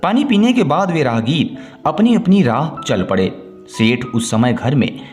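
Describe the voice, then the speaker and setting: Male storyteller, scripted folk tale, animated pitch movement, music underneath. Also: a little slow.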